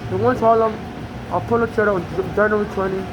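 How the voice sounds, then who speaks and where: A woman's voice: medium pitch, steady tone, fast, muffled recording, background noise. A woman from Nigeria, speaking American English with a trace of a Nigerian accent, tells her story in a rambling, aggrieved monologue with many restarts. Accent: Nigerian-tinged American English. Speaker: immigrant telling her story. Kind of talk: personal monologue to camera.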